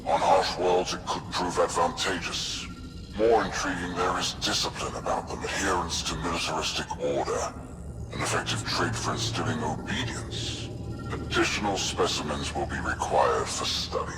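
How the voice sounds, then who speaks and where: A man playing a cold, imperious alien overlord in a sci-fi video game, speaking in a measured, grandiose, clinical and menacing way. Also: deep voice, low-quality recording. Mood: cold contemplation. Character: cold, imperious male alien overlord studying captive specimens. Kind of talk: dramatic villain monologue in a sci-fi video game. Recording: loud music under the voice.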